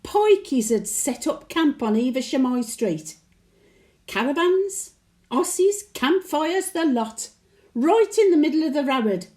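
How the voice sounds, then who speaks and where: A woman's voice: sits high, expressive, slow pace, darkish tone. A woman playing a chatty, sentimental elderly Birmingham widow with a Brummie accent, reminiscing in a folksy, plain-speaking monologue. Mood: rueful, chatty. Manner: folksy dialect, wistful and chatty. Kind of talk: dramatic monologue, conversational reminiscence.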